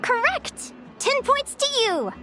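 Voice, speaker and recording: A young female adventuring advisor in an animated video game. Her voice is very high and leaps around in pitch as she encourages and quizzes her students. Faint music plays behind her. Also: takes it slowly, heavily acted. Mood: upbeat, encouraging. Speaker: advisor and lecturer.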